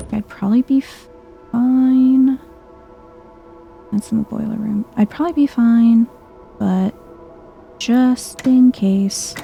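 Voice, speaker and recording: A soft-spoken woman giving a chill, quiet ASMR-style commentary as she plays a video game. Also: a little high, creaky. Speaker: gaming video host.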